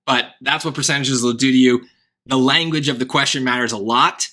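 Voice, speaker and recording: A man tutoring SAT math, thinking aloud through a worked problem with wry asides, his voice going hoarse. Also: mid-range voice, steady tone, fairly quick, sharp tone. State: voice getting hoarse. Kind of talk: casual worked-example lesson.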